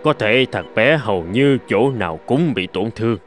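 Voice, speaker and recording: An actor playing a grave, sorrowful middle-aged doctor in a cartoon, speaking in a solemn, measured way. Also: male voice, lowish voice, expressive, faint music behind, natural voice. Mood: grave, regretful. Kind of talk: Vietnamese cartoon voice acting.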